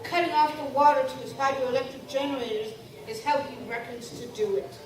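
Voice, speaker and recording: A woman's voice: high-pitched, fairly even intonation, brisk, crowd noise, close to an everyday voice. A woman playing an anxious young village daughter in a live radio play. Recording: echoey hall from far off, background noise.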